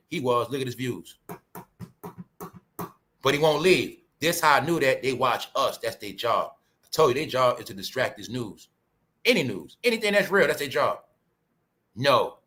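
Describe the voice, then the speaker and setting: A man's voice: mid-range voice, sharp tone. A man from Brooklyn, ranting profanely on a livestream and calling out to his audience. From Brooklyn, New York. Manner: heated and profane, keeps addressing the audience with "y'all" and "bro". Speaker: livestream host.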